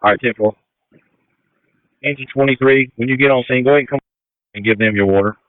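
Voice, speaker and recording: A male fire commander speaks over a thin, narrowband radio channel. His voice is clear and middle-pitched, and he gives procedural, matter-of-fact instructions as emergency traffic. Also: quick pace, dry recording. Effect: two-way radio transmission. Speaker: fire battalion chief acting as incident commander.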